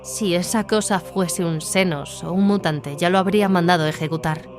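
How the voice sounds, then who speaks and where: A woman's voice: fairly low pitch, normal speed, soft background music, lightly acted. A woman playing a devout, vigilant warrior nun in a sci-fi audio drama, terse and serious. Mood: wary. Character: devout, vigilant battle sister, female warrior.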